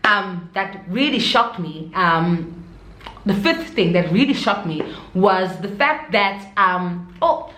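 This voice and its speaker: A woman's voice: mid-range voice, lively intonation, slow pace, fairly bright tone, slight background noise. A woman with a South African accent telling stories casually and expressively as a vlogger, dropping in Zulu interjections. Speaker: vlogger, expatriate teacher. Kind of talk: casual chat to camera. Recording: echoey room.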